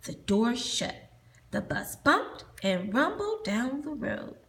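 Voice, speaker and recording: A woman reading a children's storybook aloud, pausing to ask the listener questions. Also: a little high, sing-song, a bit bright. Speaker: storybook reader.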